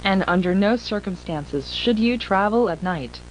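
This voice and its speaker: A woman playing a stern emergency broadcast announcer in an analog horror video, reading grave warnings in a formal, impersonal, measured way. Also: mid-range voice, animated, a little hiss or hum, close to an everyday voice, poor audio. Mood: urgent warning.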